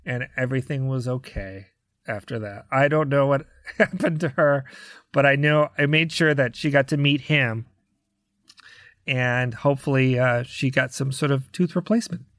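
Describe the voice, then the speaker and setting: An American man casually telling anecdotes as a podcast guest, acting out what people said in an animated way. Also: mid-range voice, moderate pace, no room sound, studio quality. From United States, Chicago area. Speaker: podcast guest, touring rock drummer.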